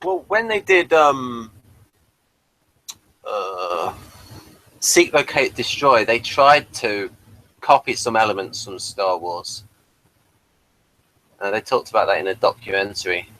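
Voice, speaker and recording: A man's voice: fairly low pitch, lively intonation, slow pace, long pauses, fairly bright tone, rough recording. A man giving casual, explanatory commentary on a TV episode, sharing background details as he goes. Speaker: host of a TV episode review commentary.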